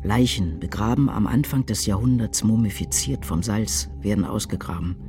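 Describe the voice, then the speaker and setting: A man reciting poetry for a radio drama in a grave, measured, declamatory way. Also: lowish voice, close to an everyday voice. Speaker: reader in a radio adaptation of a poem cycle. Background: music.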